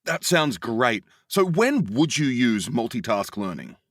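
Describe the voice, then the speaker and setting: A man's voice: mid-range voice, very animated, a little slow, a bit bright, no echo, clean studio sound. A man hosting a podcast interview, asking prompting questions in a conversational way. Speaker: podcast host.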